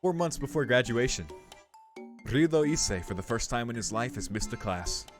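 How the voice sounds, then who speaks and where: A man's voice: medium pitch, lively intonation, moderate pace, faint music behind. A young man giving casual playthrough commentary, thinking aloud informally and reading game text out as he goes. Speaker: video game streamer.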